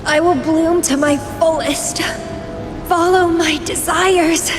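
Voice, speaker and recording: A woman's voice: very high-pitched, fairly even intonation, slow pace. An actress playing a wild, passionate young woman warrior in a video game, theatrical and intense. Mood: exultant, fierce. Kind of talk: dramatic video game voice acting. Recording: long reverb under loud music. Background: loud game soundtrack music.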